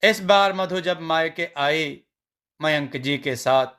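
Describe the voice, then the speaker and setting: A man with a slightly high voice tells a scripted family story. His delivery is very slow and measured, in the manner of a storybook narrator. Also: fairly bright tone, clear, resonant tone.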